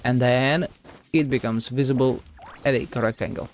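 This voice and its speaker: A man's voice: medium pitch, steady tone, normal speed, a little hiss or hum. A man streaming a game with casual, slangy, laid-back live commentary.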